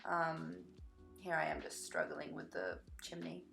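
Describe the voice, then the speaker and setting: A young woman gives a casual, chatty commentary over a video game build. Her voice sits on the low side with plenty of creak and sounds slightly croaky, as if short on sleep. She rambles and interrupts herself, with music playing softly behind her. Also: very animated, a little slow. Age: young adult. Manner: rambling, self-interrupting, easily sidetracked. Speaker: gaming video creator.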